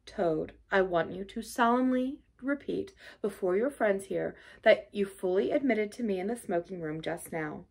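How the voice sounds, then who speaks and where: A woman's voice: medium pitch, animated, normal speed, gravelly, few pauses, a little mellow. A woman voicing a grave, stern but kindly male badger in a storybook, with a formal, oratorical manner. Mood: solemn, gratified.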